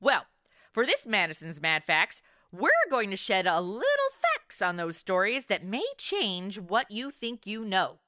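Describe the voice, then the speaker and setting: A woman hosting a podcast in a lively, scripted but conversational style. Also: mid-range voice, measured pace, dry recording, clean studio sound. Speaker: podcast host.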